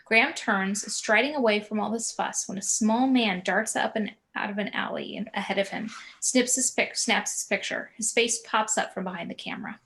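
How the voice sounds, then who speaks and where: Woman reading scene directions, medium pitch. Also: moderate pace, hardly any pauses, a bit bright, low-quality recording. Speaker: stage direction narrator. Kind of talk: scripted narration, table read.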